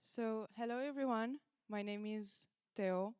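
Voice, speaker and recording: A woman's voice: fairly high pitch, measured pace, darkish tone, clean tone, no echo, clean studio sound. A woman chairing a meeting, introducing herself in a playful, chatty way.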